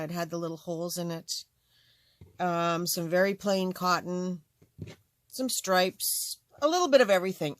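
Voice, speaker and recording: An older woman with a slightly raspy voice hosting a casual, rambling craft tutorial, full of ums and asides. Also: lowish voice, a bit bright, no echo, clean studio sound. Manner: rambling, chatty, many fillers. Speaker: craft channel host.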